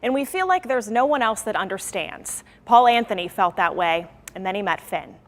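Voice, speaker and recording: An American woman narrating a scripted TV news feature as a reporter, with measured storytelling phrasing. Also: mid-range voice, lively intonation, a little hiss or hum.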